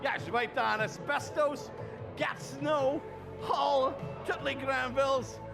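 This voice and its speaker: A very high, gravelly male voice, a native English speaker pushing through French with loud, playful energy. He drops English phrases into his French and lets out whoops. Music plays clearly behind him. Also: takes it slowly. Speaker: comedian guest. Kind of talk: hyped-up festival interview.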